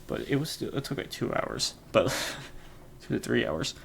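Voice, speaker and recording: Gravelly-voiced guy rambling casually. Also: mid-range voice, lively intonation, measured pace, a bit bright, slight background noise. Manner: rambling, heavy on fillers, chuckles often. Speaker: podcast host. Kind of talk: casual solo podcast chat.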